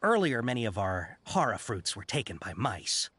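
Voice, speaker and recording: A man's voice: lowish voice, sing-song, moderate pace, a bit bright, breathy edge, no echo, exaggerated voice. A man voicing an overly courteous, apologetic male shop informant in a game cutscene. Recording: game soundtrack music behind.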